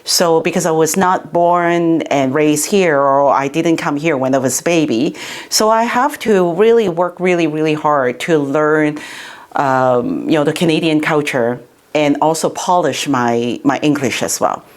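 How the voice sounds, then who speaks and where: A middle-aged woman, originally from Hong Kong, talks as an interviewee about her work as a city councillor. Her voice sits somewhat low for a woman. She speaks in a loose, chatty way, full of "you know" and restarted phrases. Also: measured pace. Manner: chatty, many fillers and restarts. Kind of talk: interview answers.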